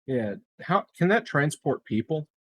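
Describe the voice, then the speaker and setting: A man's voice: a little high, sing-song, measured pace, fairly bright tone, no room sound. A man playing a tabletop game, chatting casually and thinking aloud a little hesitantly.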